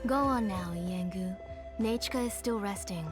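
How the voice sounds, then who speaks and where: A woman playing a kindly, motherly middle-aged auntie in a video game, with a gentle, fond manner. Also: medium pitch, normal speed, over music, close to an everyday voice.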